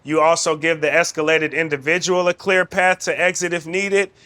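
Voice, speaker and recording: A man's voice: fairly high pitch, flat delivery, moderate pace, sharp tone, clean tone. A man giving instructional narration as a de-escalation trainer, explaining procedure step by step.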